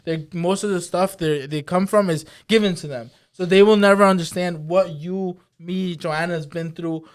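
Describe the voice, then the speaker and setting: A young man talks fast and emphatically, retelling stories with energy. His voice sits somewhat high for a man. He sounds like a casual interview guest. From Brentwood, New York. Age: young adult. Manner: animated, emphatic, storytelling. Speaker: real estate broker, guest.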